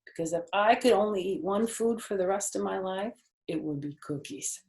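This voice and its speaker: A woman's voice: mid-range voice, normal speed, darkish tone. A middle-aged or older woman, a grandmother, reading a children's storybook expressively with casual asides. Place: indoor living room on a sofa. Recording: roomy indoor echo.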